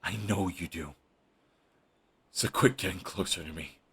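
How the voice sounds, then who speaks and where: A man's voice: low voice, a little slow, slightly rough, exaggerated voice. A man voicing a brooding villain who is defensive and lovestruck, talking close and intimately to the listener. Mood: hushed, flustered. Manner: intimate, shifts between hushed and heated. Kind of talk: audio roleplay monologue.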